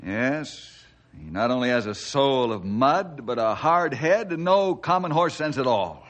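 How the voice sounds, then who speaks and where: Man acting a steady, earnest ranger hero. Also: mid-range voice, unhurried, natural voice. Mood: grave, disapproving. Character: earnest, steady, level-headed male forest ranger hero. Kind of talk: old-time radio drama acting.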